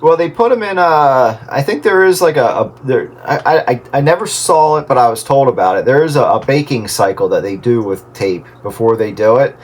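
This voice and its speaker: A middle-aged man in casual radio chat, explaining things in a halting way. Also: mid-range voice. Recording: clear steady background noise.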